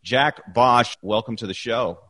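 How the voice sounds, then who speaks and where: A man's voice: medium pitch, unhurried, pushed and bright. A middle-aged man hosting a podcast, chatty and effusive as he introduces his guest. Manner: chatty, effusive, repeats himself. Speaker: podcast host. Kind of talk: casual interview chat.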